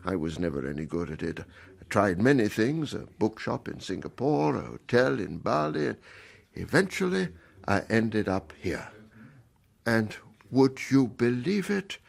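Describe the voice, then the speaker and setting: Creaky, husky, bookish planter. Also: male voice, fairly low pitch, sing-song, unhurried, full character voice. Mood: wistful, self-important. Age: middle-aged. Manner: hesitant, earnest, pompously scholarly. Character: genial, bookish, self-important widowed planter and father. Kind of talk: radio drama acting.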